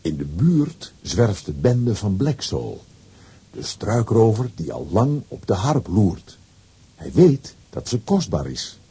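Zeer expressieve mannelijke verteller, donkere hese stem. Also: male voice, lowish voice, warm tone, husky. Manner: dramatic storytelling. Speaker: narrator. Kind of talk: scripted storybook narration for children's audio drama.

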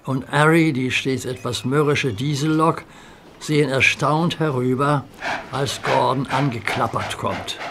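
Slow, calm male storybook narrator. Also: mid-range voice. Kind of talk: scripted children's story narration. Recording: hissy audio play recording.

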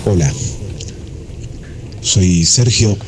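Very deep-voiced relaxed man. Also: drawn-out words. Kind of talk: voice message. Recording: phone recording with background noise. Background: noise.

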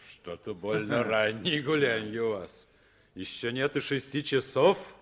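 An actor playing a probing, condescending gendarme questioning children in a 1950s radio drama. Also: male voice, medium pitch, animated, unhurried, natural voice. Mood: suspicious.

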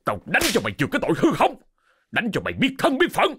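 Man playing a raging patriarch, husky. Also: medium pitch, huge pitch swings, moderate pace, fairly bright tone, no echo, heavily acted. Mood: violent rage. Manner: roaring, threatening, barked commands. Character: furious, cruel, domineering rural patriarch and wealthy landlord.

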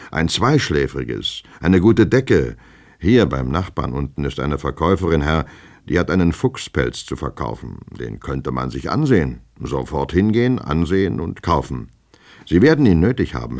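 A man's voice: very deep voice, vocal fry, exaggerated voice. A man voicing a deferential, knowing manservant in an audiobook, plodding along and addressing his master at every turn. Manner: deferential, repeating "sir", plodding. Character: servile male servant, knowing and long-winded. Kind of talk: dramatic audiobook character reading.

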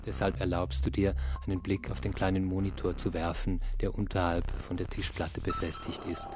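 A man with a fairly deep voice narrates a radio play. His storytelling is measured and descriptive, addressed straight to the listener. Music runs clearly behind him. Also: little pitch movement.

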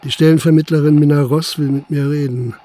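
An actor playing a principled, dogged Prussian police commissioner in a German radio drama, formal and articulate. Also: male voice, fairly high pitch, fairly even intonation, fairly quick, mellow, close to an everyday voice. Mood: sober, resigned. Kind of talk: German radio drama acting, dialogue and first-person narration.

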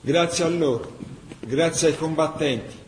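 A man's voice: fairly high pitch, a little slow, close to an everyday voice. Actor as tuscan man telling war tales for radio theatre, passionate n emphatic, echoey w faint noise behind. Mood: solemn. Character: male Tuscan storyteller recounting wartime tragedy, passionate. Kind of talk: dramatic radio theatre storytelling.

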